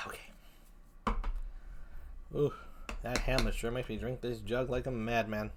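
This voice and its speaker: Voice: mid-range voice, flat delivery, measured pace, background noise. A live streamer chatting casually with the chat while setting up to sing, talking loosely and swearing when flustered. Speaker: VTuber streamer.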